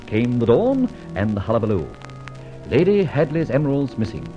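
An actor playing a suave, wry, self-assured gentleman thief in a vintage radio drama, with an urbane manner. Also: medium pitch, sing-song, normal speed, lightly acted. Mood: wry storytelling. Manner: urbane, wry, clipped commands. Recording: dull vintage radio recording, music behind.

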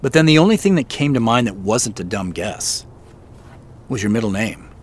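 A man's voice: on the low side, animated, moderate pace. An actor playing an uneasy, self-deprecating businessman in a naturalistic audio drama, talking hesitantly with small chuckles. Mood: wry, chatty. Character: uneasy, wry businessman searching for answers.

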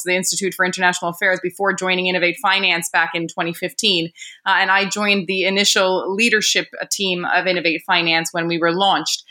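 A woman's voice: lowish voice, sharp tone. An American woman from the United States, a guest executive speaking fluently in a podcast interview. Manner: articulate, fluent with fillers.

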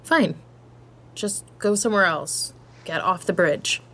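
A woman's voice: mid-range voice, measured pace, sharp tone. A woman acting a brash, foul-mouthed, blunt but caring young woman in a naturalistic audio drama. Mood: guarded, gruff concern. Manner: blunt, slangy, sweary.

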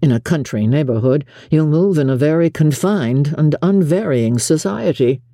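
An audiobook narrator voicing a reserved, measured and faintly haughty young gentleman in a period novel. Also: mid-range voice, expressive, no echo, studio quality. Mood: cool, measured.

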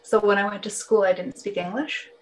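A Canadian woman whose first language is Finnish, speaking as an interview guest in a reflective, personal way, often pausing mid-phrase and rephrasing. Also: mid-range voice, steady tone, a little hiss or hum, poor audio. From Northern Ontario, Canada.

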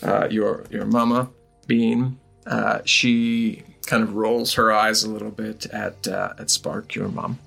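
A man's voice: lowish voice, level tone, slow, vocal fry, fairly bright tone, slightly rough, soft background music. A man narrating an improvised tabletop game as game master, hesitantly searching for words.

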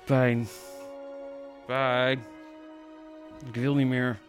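Blunt, grumbling adult son. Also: male voice, medium pitch, flat delivery, very slow, faint music behind. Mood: hungover, miserable.

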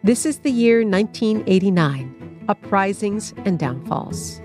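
A woman's voice: mid-range voice, expressive, measured pace. A woman host reading a formal scripted introduction for the program, presenting each panelist in turn. Faint music plays under her voice. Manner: formal, announcing. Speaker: radio show host.

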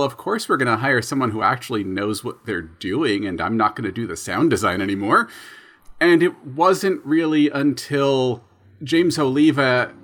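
Young guy cohost, mid-pitched voice, chatting casually, kinda self-deprecating. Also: fairly bright tone. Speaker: podcast co-host and sound designer. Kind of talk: casual conversation.

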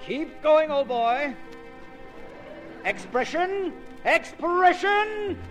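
A fussy silent-film director in an old radio play. His high voice swings widely as he issues pompous, theatrical orders. It rings in a long reverb with faint music behind. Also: animated, extremely slow, fairly bright tone, full character voice. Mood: bossy, excited. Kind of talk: 1950s radio comedy-drama acting.

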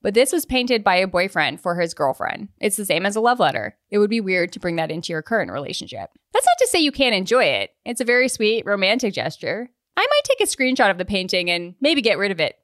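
A woman's voice: medium pitch, sing-song, fast talker, few pauses, a bit bright, no echo, studio quality. A woman hosting a casual podcast in an upbeat, encouraging way. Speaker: podcast host.

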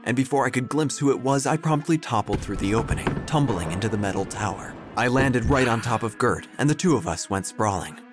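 An actor playing a melodramatic, self-important obituary writer who narrates an audio drama with theatrical pauses. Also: male voice, medium pitch, lively intonation, music in the background, natural voice. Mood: dry, dramatic. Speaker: narrator and protagonist. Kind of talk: first-person audio drama narration, gothic parody.

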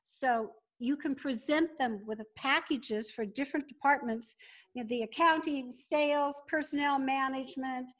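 Higher-pitched woman giving instructive business advice. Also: normal speed, fairly bright tone. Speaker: podcast guest, business founder. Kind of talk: conversational business advice in an interview.

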